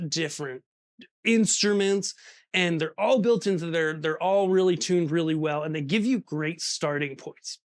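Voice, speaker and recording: A man's voice: a little high, no echo, clean studio sound. A man giving a casual, hands-on tutorial, chatty and informal, talking himself through each step as he goes. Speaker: presenter of audio gear tutorial. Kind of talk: casual hands-on instructional demo.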